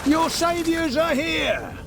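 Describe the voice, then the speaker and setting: An actor playing a hearty, brave knight in a video game, declaiming heroically. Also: male voice, extremely high pitch, very animated, slow pace, vocal fry, noisy background, heavily acted. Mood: triumphant, loyal. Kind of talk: video game character acting. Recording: loud game music behind.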